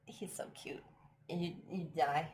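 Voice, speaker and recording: A woman's voice: deep voice, fairly even intonation, takes it slowly, background noise. Chatty throaty woman host. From United States. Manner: chatty, laughs often, many restarts. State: throaty from allergies. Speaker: live stream podcast host. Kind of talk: casual chat. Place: at home abroad, live stream.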